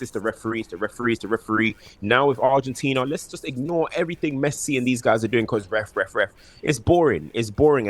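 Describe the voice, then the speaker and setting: A man co-hosting a casual football chat, emphatic and fond of mocking repeated phrases. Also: medium pitch. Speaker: co-host.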